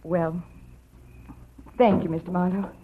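A woman's voice: fairly low pitch, flat delivery, slow. A woman playing an admiring, grateful client in a 1940s radio detective drama. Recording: noisy, tinny old radio recording.

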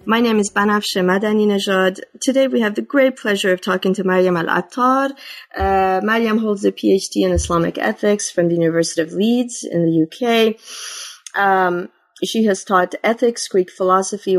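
Podcast host lady, friendly, lots of ums, mid pitched voice. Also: fairly quick. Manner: friendly, with many ums and uhs. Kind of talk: interview hosting.

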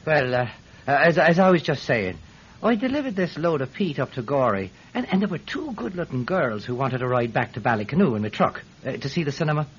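An actor playing an eager Irish peat seller testifying in court in a classic radio drama, hesitant, stammering and forever digressing. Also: male voice, on the high side, big pitch swings, fairly quick, husky. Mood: flustered, eager. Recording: muffled, hissy vintage radio recording.

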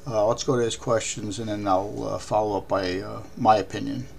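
A man hosting a podcast, raspy voice, talking haltingly with many ums and uhs. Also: on the low side, flat delivery, slight background noise. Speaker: podcast host. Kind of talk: casual podcast commentary.

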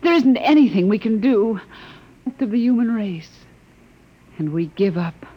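An actress playing an anxious, devoted human survivor, earnest and emotional, in a classic radio drama. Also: female voice, fairly high pitch, expressive, moderate pace, husky. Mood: despairing. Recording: muffled, noisy vintage radio recording.

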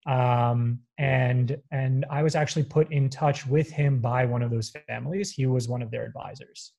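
A young man of background telling about his finance career in an interview, pausing often with fillers and restarts. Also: mid-range voice, fairly even intonation, creaky, warm tone. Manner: hesitant, many fillers and restarts. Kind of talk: conversational interview.

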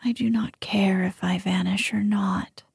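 A woman voicing a devoted young male sunbeam spirit in an earnest, hushed whisper. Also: medium pitch, steady tone, a little slow, gravelly, slightly rough, dry recording, clean studio sound, exaggerated voice. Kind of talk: whispered ASMR storytelling.

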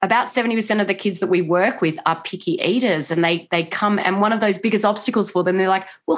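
A woman's voice: medium pitch, a bit bright. A middle-aged Australian woman, a mother and nutrition coach, answering interview questions earnestly and with many "you know" fillers. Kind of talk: interview conversation.

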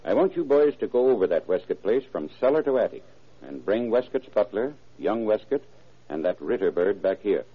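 Husky, animated radio police boss. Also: male voice, fairly low pitch, normal speed. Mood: firm, reasoning. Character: earnest, take-charge male police boss, methodical. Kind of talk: old-time radio drama acting. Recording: muffled, narrow-band vintage radio recording.

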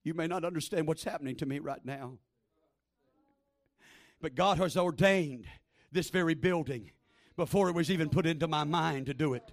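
A man's voice: a little high, moderate pace, gravelly. Fervent man preaching in a church. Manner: fervent, repetitive, rising to shouted exhortation. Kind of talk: Pentecostal-style sermon. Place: small church. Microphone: handheld microphone.

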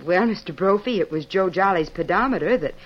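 A woman's voice: on the low side, expressive, fairly quick. A young woman with an American accent playing a polite, eager publisher's daughter in an old radio western, on a muffled recording. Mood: earnest, eager. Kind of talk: old-time radio drama acting.

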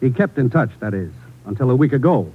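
Husky American radio commissioner. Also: male voice, medium pitch, lively intonation. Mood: grave, urgent. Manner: brisk, official. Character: grave, authoritative male government commissioner briefing agents. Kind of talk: 1950s radio drama acting. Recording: muffled old radio broadcast.

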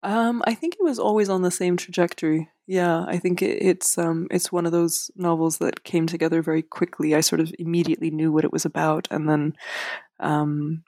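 Hesitant woman author, flat and dry. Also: on the low side, moderate pace, dry recording. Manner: hesitant, many fillers and restarts, self-deprecating. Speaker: author guest. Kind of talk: casual interview.

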